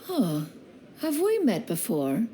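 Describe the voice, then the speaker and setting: A woman's voice: on the high side, wildly swooping pitch, slow, mellow, faint music behind, full character voice. A woman voicing an aloof, proud, refined lady in a video game, speaking in formal, archaic diction. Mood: composed.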